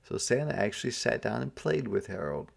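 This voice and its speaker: A man reading a storybook aloud to children as a teacher, pausing to ask questions and explain things simply. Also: mid-range voice, sing-song, gravelly. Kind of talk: interactive storybook read-aloud.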